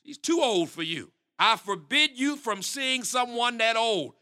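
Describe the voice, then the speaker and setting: A man's voice: very high voice, animated, measured pace, sharp tone, clean tone, full character voice. An actor playing a stern, easily riled middle-aged pastor and father who scolds in an exasperated, blustering way. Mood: outraged.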